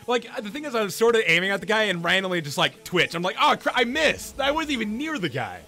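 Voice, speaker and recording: A man's voice: high voice, sing-song, fast, vocal fry, faint music behind. A young man streaming games, chatting casually and rambling with his viewers, his throat strained and his voice wearing out. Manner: rambling, restarts often, talks loud and a lot. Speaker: game streamer. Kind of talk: casual chat with live chat viewers.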